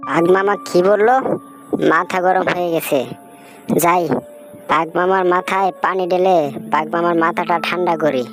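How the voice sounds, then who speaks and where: A woman's voice: fairly low pitch, steady tone, normal speed, long pauses, faint music behind, lightly acted. A woman voicing a naive, literal-minded male fox husband in a comic cartoon. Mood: naive curiosity.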